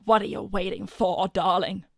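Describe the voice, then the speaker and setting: A woman's voice: medium pitch, flat delivery, moderate pace, gravelly, no echo, clean studio sound. A woman voicing a seductive, manipulative talent-scout villainess, theatrical, coaxing and condescending, in an improvised cartoon roleplay. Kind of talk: improvised in-character livestream roleplay.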